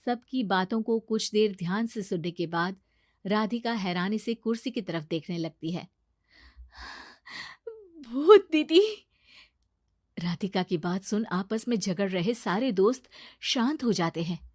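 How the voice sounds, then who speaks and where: Dry, medium-pitched woman story narrator. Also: moderate pace, a little mellow, no echo. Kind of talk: scripted children's story narration.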